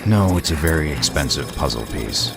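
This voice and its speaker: A man playing a gruff, dryly sardonic sheriff detective in an audio drama, speaking in a gravelly voice. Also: extremely low pitch, expressive, normal speed, exaggerated voice. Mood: dry, commanding. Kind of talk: fan-made audio drama acting. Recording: music under the voice.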